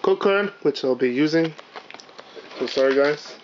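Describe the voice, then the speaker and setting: A man with a hoarse, raspy voice, chatting casually as he opens trading card packs on his channel, with lots of fillers and apologies. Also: on the high side, unhurried. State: hoarse, partly lost voice. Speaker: channel host, card unboxer. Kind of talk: casual unboxing vlog. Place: indoors at a table, camera on the cards. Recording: dull lo-fi home recording with faint hiss.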